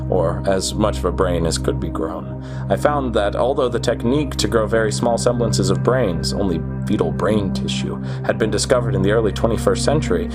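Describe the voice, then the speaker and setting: A man playing an obsessive, boastful genius programmer confessing to his beloved in a theatrical, deliberate radio-play monologue. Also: fairly low pitch, close to an everyday voice. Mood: grandiose. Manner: theatrical, grandiose, deliberate phrasing. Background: music behind the voice.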